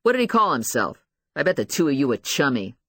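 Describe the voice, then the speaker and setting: A woman's voice: deep voice, very animated, a little fast, fairly bright tone, no room sound, heavily acted. Audiobook role: aggressive policeman voiced by a woman, goading, tough, streetwise and demanding, in a dry studio sound. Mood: sarcastic, aggressive. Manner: blunt, goading, slangy, demanding. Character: aggressive, bullying, tough male police investigator. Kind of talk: dramatised audiobook dialogue.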